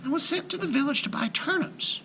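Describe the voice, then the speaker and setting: Man putting on a tiny, timid little girl, very high. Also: lively intonation, fairly quick, creaky, a little hiss or hum, heavily acted. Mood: meek, pleading. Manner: meek, hesitant, soft-spoken. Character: timid, polite, curious little girl Marusha.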